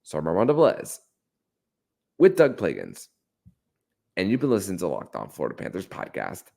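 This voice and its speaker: A man hosting a sports podcast, talking in a halting way with repeated words and small stumbles. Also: deep voice, wildly swooping pitch, creaky, a little mellow. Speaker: podcast host.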